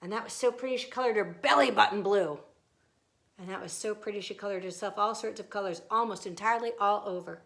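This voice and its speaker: A woman who is a teacher reading a picture book aloud to children, expressive and emphatic, with asides to her young listeners. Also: medium pitch, quick pace.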